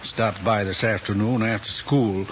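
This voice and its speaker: A man acts out an anxious, ambitious middle-aged father in a mid-century screen drama. He speaks earnestly and haltingly. The old soundtrack is dull, with a faint hiss behind him. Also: fairly low pitch, fairly even intonation, lightly acted. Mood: stern, probing.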